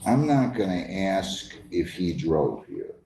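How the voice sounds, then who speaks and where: Male judge, low mellow voice, stern and interrupting, questioning from the bench in an echoey room. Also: very animated, takes it slowly, creaky. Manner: stern, firm, interrupts and repeats himself. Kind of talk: courtroom questioning and admonishing. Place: courtroom. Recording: echoey courtroom, faint background noise.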